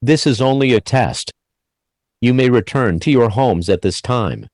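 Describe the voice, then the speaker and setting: A man reading a scripted emergency broadcast announcement in a formal, measured, official manner. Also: medium pitch, lively intonation, darkish tone, no room sound, studio quality.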